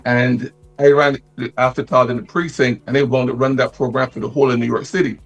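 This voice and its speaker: Gravelly, husky middle-aged man from Barbados. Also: mid-range voice, level tone, fast talker, dull audio. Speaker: interview guest, retired police detective. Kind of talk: conversational interview storytelling. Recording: music playing behind the voice.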